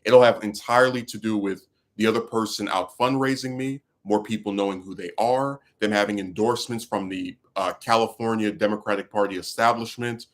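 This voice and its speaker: A man's voice: on the low side, steady tone, a little fast, hardly any pauses. A man hosting a casual talk stream, venting about politics in a rambling way, full of fillers and restarts. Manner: frustrated, venting. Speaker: livestream host.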